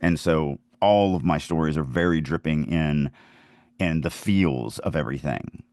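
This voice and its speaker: Deep-voiced man talking casually about his craft. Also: a little slow, darkish tone, no echo, studio quality. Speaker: podcast host, fantasy author and writing teacher. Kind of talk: casual conversational writing advice.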